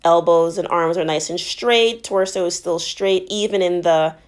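A woman's voice: fairly low pitch, fairly even intonation, moderate pace, smooth. A woman narrating a workout as a fitness instructor, explaining each move in an encouraging, instructional way.

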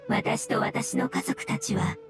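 High-voiced, formal little fungus spirit. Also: female voice, sing-song, takes it slowly, music in the background, exaggerated voice. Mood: solemn, wistful. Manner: formal, precise, wordy explanations. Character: polite, solemn, learned female-voiced floating fungus spirit of an ancient race. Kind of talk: Japanese video game dub acting.

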